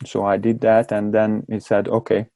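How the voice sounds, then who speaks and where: A low, gravelly man's voice with a strong Hungarian accent, almost monotone as he explains himself in his second language. He stalls and repeats words mid-sentence, and the mellow, dark tone sits in a dry, close space. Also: no room sound. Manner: halting, repeats words while searching for them. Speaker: guest. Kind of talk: casual interview talk.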